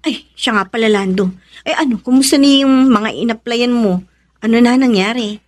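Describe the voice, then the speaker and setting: A woman's voice: a little high, normal speed, natural voice. A woman playing a young, practical but plaintive commuter in a Filipino radio drama. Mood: curious.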